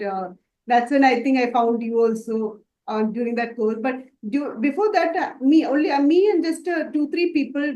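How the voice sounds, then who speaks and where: A woman's voice: high-pitched, a little mellow, reverberant, highs cut off. A woman from Dubai answering interview questions about her makeup work, hesitant and full of fillers and restarts. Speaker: makeup artist student.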